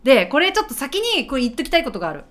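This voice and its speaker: Animated, high-voiced woman podcast host. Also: very fast. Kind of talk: playful casual chat with co-host.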